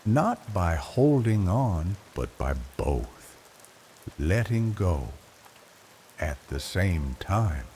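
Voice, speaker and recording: An older man narrating a bedtime story in a slow, reflective, wistful way, leaving long pauses between phrases. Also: low voice, big pitch swings. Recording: rain noise behind the voice. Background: soft rain ambience.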